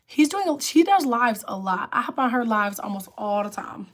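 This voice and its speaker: A woman with a raspy, lower alto voice, hosting a casual livestream and chatting with viewers as she answers their comments. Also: sing-song, normal speed. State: raspy voice from illness. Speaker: livestream host.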